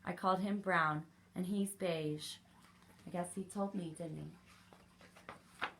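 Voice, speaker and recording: A woman's voice: fairly low pitch, fairly even intonation, takes it slowly, background noise. A woman leading an interactive children's story time, asking questions and encouraging young listeners as she reads. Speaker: library story time reader.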